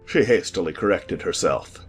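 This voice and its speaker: A woman's voice: rumbling low, lively intonation, normal speed, husky, faint music behind. A woman narrator reading a scripted children's storybook as part of a dramatized audiobook.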